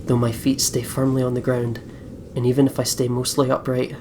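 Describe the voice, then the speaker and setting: A man with a medium-pitched voice hosts a video game playthrough. He moves between casual chat and reading story narration aloud. The recording has a little background noise. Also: monotone, fairly quick. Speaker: let's play host. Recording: slightly noisy home recording.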